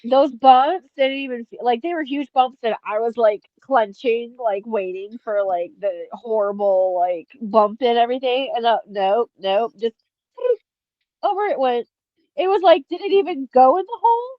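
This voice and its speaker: A woman co-hosting a casual podcast chat, sounding nasal and stuffy from allergies, talking excitedly and saying "like" a lot. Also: a little high, moderate pace, dry recording, lo-fi sound. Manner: excitable, peppers speech with "like". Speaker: podcast co-host.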